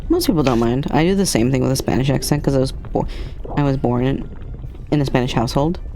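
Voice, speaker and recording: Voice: medium pitch, animated, fast, warm tone, slight background noise. A streamer hosting a live chat, rambling and restarting sentences in a casual, chatty way. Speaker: streamer, host.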